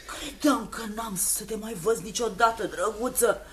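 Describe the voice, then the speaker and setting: An actress playing a sly, chummy old opium den keeper in a radio play, wheedling with folksy endearments and prodding questions. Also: female voice, a little high, a little hiss or hum, natural voice. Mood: prying, coaxing. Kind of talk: radio theatre acting.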